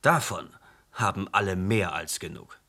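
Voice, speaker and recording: A man's voice: deep voice, lively intonation, normal speed, loud music behind the voice. An actor playing a blunt man who insists that his sister did not take her own life, in a radio drama. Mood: defensive. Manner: curt, insistent. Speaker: brother of the dead woman.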